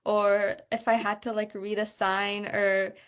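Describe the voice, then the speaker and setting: A woman's voice: mid-range voice, flat delivery, a little slow, clean tone, dull audio. A young woman, a student abroad, chatting casually about daily life, with many fillers and "like"s. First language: bilingual. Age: young adult. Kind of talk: casual conversation.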